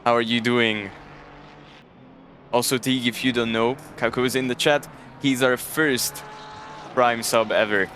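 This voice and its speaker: A man's voice: mid-range voice, fairly even intonation, a little slow, long pauses, fairly bright tone, a little hiss or hum. A man from Hungary with a Hungarian accent, casually commentating a sim racing stream and chatting with viewers. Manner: chatty, jokes and thanks chat viewers. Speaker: race commentator.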